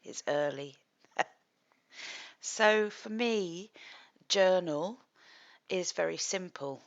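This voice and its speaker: A middle-aged woman, a psychotherapist, talking calmly and thoughtfully in a slightly husky voice as she hosts a creative project. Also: lowish voice, lo-fi sound. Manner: gentle, thoughtful, with soft laughs and pauses. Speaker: psychotherapist and art project host. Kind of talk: calm reflective vlog talk.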